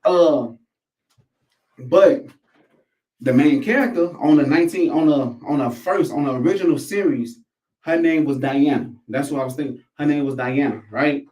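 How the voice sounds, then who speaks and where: Male, American, higher register. Slangy, profane, repetitive rambling commentary, recorded roomy and off-mic. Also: normal speed, darkish tone. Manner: slangy, profane, repeats phrases for emphasis. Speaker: host of a commentary show. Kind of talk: casual rambling commentary to camera. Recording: echoey room, distant microphone.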